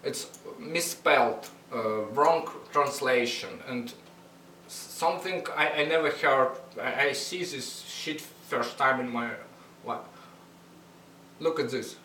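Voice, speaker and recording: A young man with a thick Russian accent rambles through a casual vlog, cursing freely and stumbling over his English. His voice sits at a middling pitch. The recording is roomy and a little distant, with a faint hum underneath. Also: bright, forceful tone. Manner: blunt, swears a lot, halting non-native English. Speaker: vlogger. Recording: echoey room, mic at a distance, faint hum.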